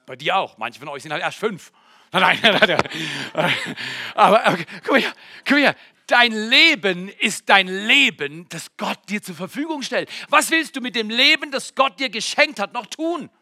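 Male pastor in his 40s, high, very bright voice, dry sound, swings between loud exclamations and near-whispers. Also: lively intonation, measured pace, no echo. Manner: addresses the congregation directly with jokes and questions. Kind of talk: sermon. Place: church.

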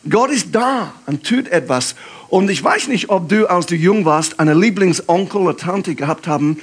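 A man from England, a guest pastor, preaching in German with an English accent, lively and halting with fillers. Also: sits high, breathy edge. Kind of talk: sermon.

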